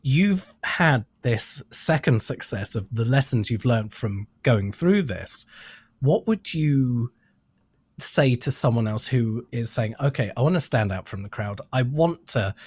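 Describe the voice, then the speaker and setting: A British man hosting a podcast interview, asking questions in deliberate, wordy phrasing. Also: mid-range voice, expressive, a little mellow, slightly rough, dry recording, studio quality. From United Kingdom.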